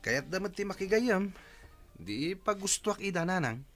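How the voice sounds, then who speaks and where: A man's voice: sits high, lively intonation, measured pace, a bit bright, soft background music, full character voice. An actor playing a cocky, defiant grown son in a radio drama, a man's voice. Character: cocky, defiant grown child of a scolding mother. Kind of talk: Ilocano radio drama acting.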